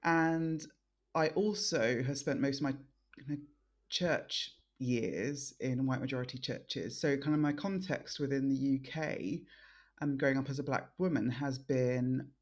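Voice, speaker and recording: A middle-aged woman born in Nigeria and raised in London, giving thoughtful interview answers as a guest, with frequent pauses and restarts. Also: rumbling low, a little mellow. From born in Nigeria, raised in South East London. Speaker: guest, think tank director.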